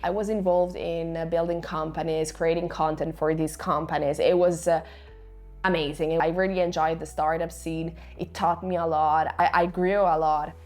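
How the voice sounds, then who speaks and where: A woman's voice: low-pitched, level tone, normal speed, a little mellow, faint music behind. A woman in her twenties whose first language is Italian, telling her own story to camera in a reflective way with hesitant fillers. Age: 20s. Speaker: author and vlogger.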